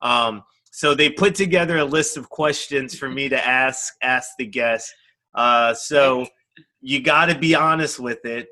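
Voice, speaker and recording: A man interviewing a former player in a relaxed, jokey, encouraging way. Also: medium pitch, a little slow. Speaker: interviewer, coach. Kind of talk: casual interview questions.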